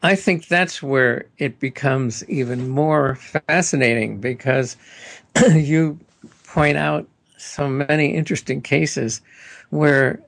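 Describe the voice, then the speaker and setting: Gravelly radio host guy, chatty and keen, lots of uhs, dry close mic. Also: medium pitch, slow pace, fairly bright tone, dry recording. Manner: enthusiastic, chatty, with frequent fillers. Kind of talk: interview questions.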